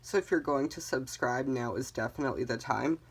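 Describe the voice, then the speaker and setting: Chill tarot reader chatting to viewers, calm and reassuring, lots of ums. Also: mid-range voice, fairly quick, slight background noise. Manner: calm, reassuring, gently guiding listeners; chatty, with frequent "um" fillers. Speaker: tarot reader, channel host. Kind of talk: casual tarot card reading for viewers.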